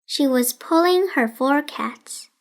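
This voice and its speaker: A woman narrating a children's storybook in a deliberate, carefully enunciated way. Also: high voice, lively intonation. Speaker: children's audiobook reader.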